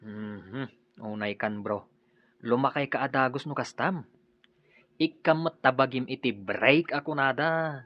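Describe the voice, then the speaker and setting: An actor playing a breezy, nagging Filipino buddy in an Ilocano radio drama. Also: male voice, mid-range voice, unhurried, no echo, highs cut off, lightly acted.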